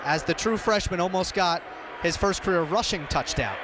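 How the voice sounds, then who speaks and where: High-voiced American sports analyst guy. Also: normal speed, noisy background. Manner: conversational, explanatory. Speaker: color analyst. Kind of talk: live radio sports commentary, analysis.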